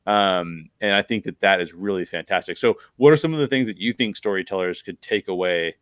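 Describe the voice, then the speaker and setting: A man's voice: sits low, brisk, fairly bright tone, no room sound. A middle-aged man hosting a conversational podcast, explaining film craft in an emphatic way, close on a microphone. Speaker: podcast host. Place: bedroom, video call.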